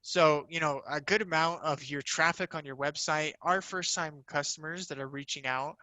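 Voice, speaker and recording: A man's voice: a little high, steady tone, moderate pace, sharp tone, no echo. A man giving an informal, upbeat sales presentation as a company representative, conversational and peppered with "you know".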